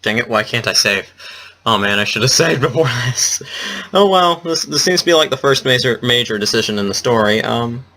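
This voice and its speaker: A man's voice: medium pitch, expressive, brisk. A man giving casual, offhand let's play commentary on a game. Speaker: gaming video host. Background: soft guitar game music.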